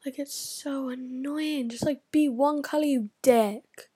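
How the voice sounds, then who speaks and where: A woman's voice: high-pitched, fairly even intonation, takes it slowly, warm tone. A teenage girl, rambling casually to camera, swearing a lot and dropping into whispered asides. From United Kingdom. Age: teens. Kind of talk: casual vlog chat.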